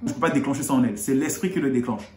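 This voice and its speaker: A man from Congo explaining Bible verses in a relaxed, conversational way, rising in pitch on key points. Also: racing. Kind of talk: explaining scripture in casual chat. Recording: echoey room.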